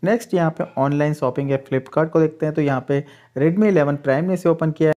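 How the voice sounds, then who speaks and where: A man's voice: fairly high pitch, brisk, dark tone. A man, the host of a tech channel, steadily narrating a side-by-side phone speed test and addressing viewers as friends.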